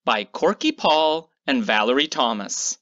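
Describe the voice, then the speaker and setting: Slow, animated woman reading a storybook. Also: extremely low pitch, dull audio. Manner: theatrical, drawn-out emphasis on key words. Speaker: children's storybook reader. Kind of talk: read-aloud picture book narration.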